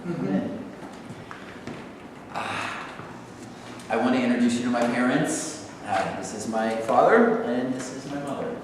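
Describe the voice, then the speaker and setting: A man's voice: medium pitch, unhurried, long pauses, natural voice. A man playing a hurt, confused young son in a staged play reading. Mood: subdued. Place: live staged reading in a hall. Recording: echoey hall, distant mic, faint noise.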